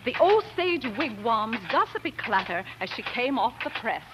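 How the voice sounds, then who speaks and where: An actress playing a spirited, proper young pioneer wife who also narrates an old-time radio drama, with genteel, emotive delivery. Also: female voice, on the high side, sing-song, moderate pace, heavy background noise. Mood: wistful, reminiscing.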